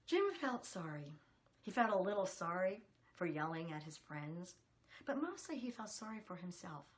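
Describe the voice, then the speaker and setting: A woman's voice: lowish voice, big pitch swings, normal speed. A woman reading a picture book aloud to children, expressively, putting questions to her young listeners.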